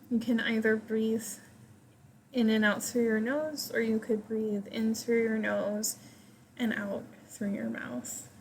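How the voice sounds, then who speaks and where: A woman leading a guided meditation, giving gentle, invitational instructions in short phrases with pauses between them. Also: a little high, a little hiss or hum, poor audio.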